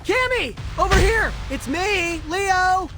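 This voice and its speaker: A man playing an earnest, anxious but decisive teenage mutant turtle leader in an animated game. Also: extremely high pitch, slow, heavy background noise, full character voice. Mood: urgent shouting. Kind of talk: animated video game acting.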